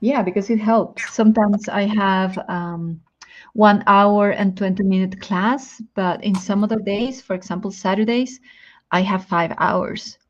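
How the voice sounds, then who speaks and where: Woman, Spanish accent, chatty teacher guest, thinks aloud, mid pitch, a bit lo-fi. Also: fairly even intonation, a little slow. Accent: Spanish-speaking. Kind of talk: casual chat on a live stream.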